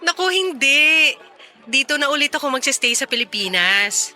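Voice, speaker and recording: Casting: wistful young ex-girlfriend in radio drama, very bright high voice, teasing, conversational. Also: expressive, moderate pace, soft background music, exaggerated voice. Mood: wistful, confiding. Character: Mela, wistful young woman reunited with her ex. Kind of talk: Tagalog radio drama acting.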